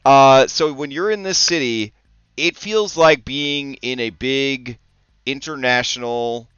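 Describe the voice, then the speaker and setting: Excitable male host from Italy, crisp close voice, slightly lo-fi, casual and profane. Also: medium pitch, slow, no room sound. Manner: excitable, swears casually, many restarts. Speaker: podcast host. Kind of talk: casual chat about tabletop games.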